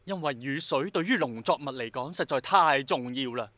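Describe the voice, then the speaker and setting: A man's voice: mid-range voice, expressive, normal speed, pushed and bright, no room sound. An actor with a Hong Kong Cantonese accent playing an earnest, dreamy young man who is obsessed with bringing back rain, in a radio drama. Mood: earnest, excited. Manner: passionate, persuasive, romantic-minded.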